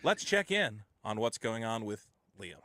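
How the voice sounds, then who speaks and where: Animated, swearing male stream guest. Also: on the low side, unhurried, dry recording. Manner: animated and profane, slipping into mock voices to act out others. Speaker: guest on a livestream. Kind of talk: casual chat, telling stories.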